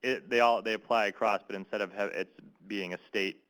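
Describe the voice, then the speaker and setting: A man moderating a webinar, summing up and inviting questions in a relaxed way. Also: lowish voice, fairly quick, poor audio. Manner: relaxed and friendly, with fillers. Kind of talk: casual moderating.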